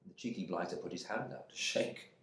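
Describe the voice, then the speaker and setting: A man playing a bitter, stiff husband back from war in a dramatic monologue, with clipped, halting, disdainful phrasing. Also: low voice, fairly bright tone. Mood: indignant, furtive. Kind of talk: dramatic monologue reading from a novel. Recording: roomy indoor echo.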